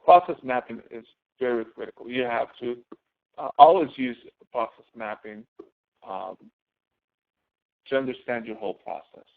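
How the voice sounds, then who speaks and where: A man's voice: mid-range voice, gravelly. A man instructor giving an informal, explanatory lecture, emphatically repeating key phrases for stress. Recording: thin phone-like narrowband audio.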